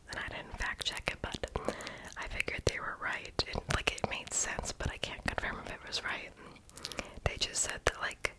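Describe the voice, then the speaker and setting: A person whispering a casual, rambling ASMR voiceover in a breathy, unvoiced voice, often stopping to correct themselves. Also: rough recording. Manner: casual, rambling, hesitant self-correcting talk. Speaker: ASMR video creator.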